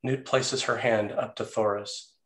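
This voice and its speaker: A man's voice: on the low side, fairly even intonation, unhurried. A man reading stage directions as the narrator of a screenplay table read.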